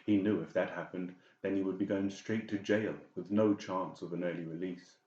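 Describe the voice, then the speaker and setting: A man's voice: sits low, fairly even intonation, normal speed, a little mellow, echoey room, muffled recording. A man reading scripted, dramatic narration as an audiobook narrator.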